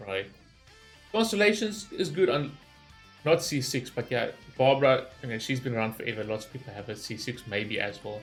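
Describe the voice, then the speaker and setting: A man gives casual reaction commentary for a gaming channel. He rambles and thinks aloud in an informal way, with a mid-pitched voice over faint music. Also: a bit bright.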